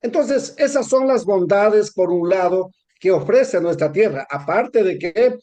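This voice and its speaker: A man with an Ecuadorian accent, answering interview questions in a measured, explanatory way with frequent hesitations. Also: high-pitched, expressive, dark tone. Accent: Ecuadorian Spanish. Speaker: interview guest.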